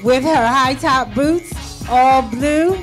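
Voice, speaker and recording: A woman's voice: sits high, takes it slowly. A woman at a handheld mic in a restaurant bar, announcing outfits at a fashion show in a presenting, sing-song way. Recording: music playing loudly behind.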